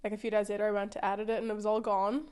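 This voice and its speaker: A woman's voice: mid-range voice, little pitch movement, racing, a little mellow, smooth. A woman in her twenties hosting a podcast, chatting in a friendly way and often agreeing with her guest. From Northern Ireland. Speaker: podcast host. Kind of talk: casual chat. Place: studio.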